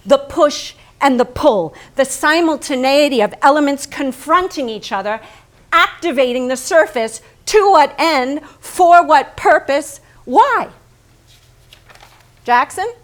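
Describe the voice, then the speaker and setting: An actress playing an intense, blunt, strong-willed woman painter in a theatrical staged monologue, insistent and questioning. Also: sits high. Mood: yearning, intense. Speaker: stage actor. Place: staged reading in a barn before an audience.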